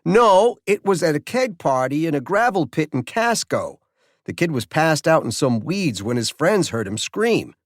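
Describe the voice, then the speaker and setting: A man voicing a brash, excitable, cynical middle-aged game warden in an audiobook, talking informally and emphatically. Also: on the high side, moderate pace, dry recording, studio quality, voice pushed up. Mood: excited, urgent.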